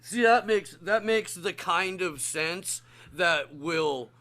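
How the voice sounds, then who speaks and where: A man's voice: sits high, slow pace, sharp tone. A man co-hosting a podcast, chatting casually and agreeably. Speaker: podcast guest host.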